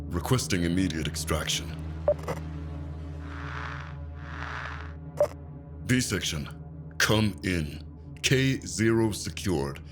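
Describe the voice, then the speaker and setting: An actor playing a reluctant, serious task force recruit in an audio drama, asking short, probing questions in a guarded way. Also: male voice, sits low. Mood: tense.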